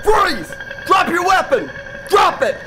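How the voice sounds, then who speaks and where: Male cop, played by an actor, aggressive barked orders, high voice with big swings. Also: big pitch swings, slow pace, noisy background, full character voice. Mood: forceful. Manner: barking orders. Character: aggressive, commanding police officer making an arrest. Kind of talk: audio drama acting.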